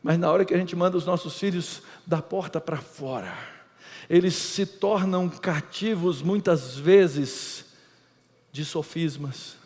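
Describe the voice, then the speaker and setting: A middle-aged Brazilian man with a Brazilian Portuguese accent, preaching an emphatic lecture-style sermon that swings between loud and hushed. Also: fairly high pitch, a little slow. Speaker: pastor. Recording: reverberant hall.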